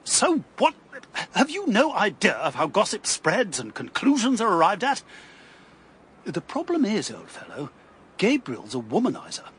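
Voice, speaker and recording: An actor in a radio drama playing a fussy, easily alarmed political campaigner with an upper-class English accent and a blustering manner. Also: male voice, high-pitched, wildly swooping pitch, normal speed, fairly bright tone, slight background noise, full character voice. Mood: exasperated. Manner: blustering, emphatic.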